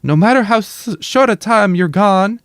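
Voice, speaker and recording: A gentle, worried young woman who sees the future, as a man puts her on while reading a novel aloud. Her voice is soft and pleading, pushed up into a higher register. The sound is close and dry. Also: lively intonation, normal speed, no echo.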